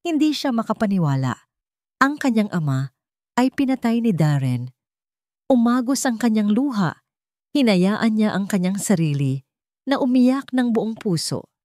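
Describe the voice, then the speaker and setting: A woman's voice: mid-range voice, sing-song, measured pace, pauses often, darkish tone, dry recording, clean studio sound. A woman reading a scripted dramatic story as a storyteller.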